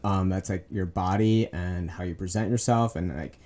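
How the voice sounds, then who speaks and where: A man's voice: deep voice, normal speed, warm tone. A man co-hosting a podcast, chatting agreeably with many fillers. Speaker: podcast co-host. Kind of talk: casual chat.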